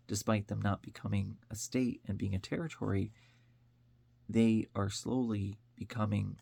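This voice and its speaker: Low, gravelly American man talking earnestly. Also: a little mellow. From upstate New York, United States. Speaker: online commentator. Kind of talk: informal opinion monologue.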